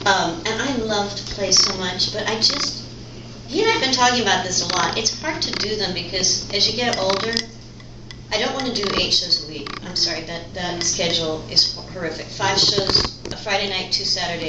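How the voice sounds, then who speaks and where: A middle-aged actress chatting in a rambling, storytelling way, often restarting her sentences. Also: medium pitch. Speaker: actress guest. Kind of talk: casual conversation, telling stories. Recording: echoey room from far off with noise.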